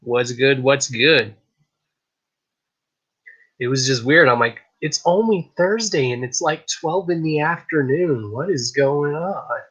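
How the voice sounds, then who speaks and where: A man hosting a casual live stream show, chatting in a hyped, rambling way, his voice a little raspy. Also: medium pitch, expressive, slow pace, fairly bright tone, dull audio. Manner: hyped, rambling, repeats "I kid you not". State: slightly raspy voice.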